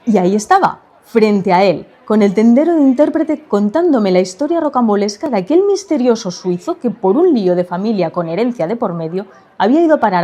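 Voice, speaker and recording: A woman's voice: medium pitch, warm tone. A woman reading a first-person literary short story as a scripted narration.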